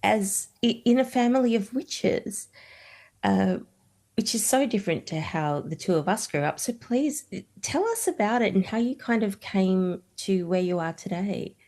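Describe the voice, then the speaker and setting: A woman's voice: mid-range voice, expressive, moderate pace, dark tone. A woman co-hosting a podcast, chatting casually and laughing often as she interviews. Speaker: podcast co-host. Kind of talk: casual chat.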